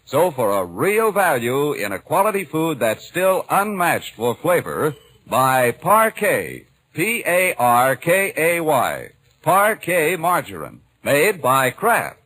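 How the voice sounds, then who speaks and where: A man's voice: a little high, very animated, slow pace, fairly bright tone. An American man announcing and reading ads in 1940s radio style. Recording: very noisy old 1940s radio recording.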